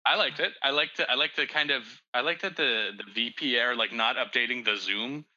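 A man's voice: medium pitch, a little fast, bright, forceful tone, dull audio. A man giving casual creative feedback on a script, acting out example lines as he explains.

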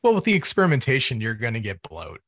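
Measured male music reviewer, dry sound. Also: medium pitch, huge pitch swings, fairly bright tone, dry recording. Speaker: music podcast co-host. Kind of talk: casual album critique.

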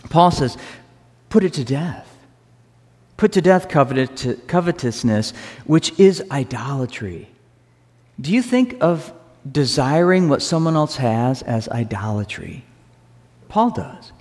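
A man preaching a sermon as pastor, earnest and emphatic, slowing down to stress key phrases. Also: medium pitch, a little mellow. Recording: reverberant hall.

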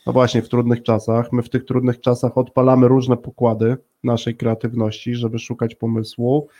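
Male interview host, mid pitch, mellow, flat intonation, measured phrasing. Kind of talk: interview moderation.

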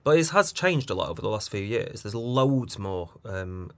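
A man, a casting director being interviewed on a podcast, who talks with many fillers, pauses and small laughs. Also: on the low side, big pitch swings, a bit bright, dry recording.

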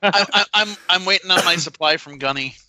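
A man chatting over a video call in a slow, laid-back way. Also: sits high, pushed and bright, no echo. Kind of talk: casual chat.

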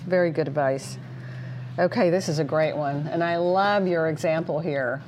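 Lower-voiced woman moderating a panel. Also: moderate pace, a little mellow, slight background noise, poor audio. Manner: affirming, brief agreeing remarks.

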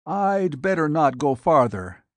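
A man doing a wary, hurried hospital physician. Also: on the high side, very animated, no room sound, pitched above the performer's own voice. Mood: worried. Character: cautious male hospital physician.

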